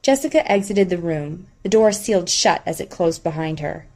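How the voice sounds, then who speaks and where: A woman's voice: lowish voice, expressive, normal speed, darkish tone. A woman reading scripted narration of a novel as an audiobook narrator.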